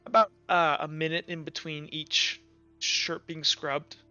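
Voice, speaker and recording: Bright, clear-voiced man running a game. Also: fairly high pitch, steady tone, measured pace, muffled recording, faint music behind. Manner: informal, improvised descriptions. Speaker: game master. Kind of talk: narrating a tabletop roleplaying game.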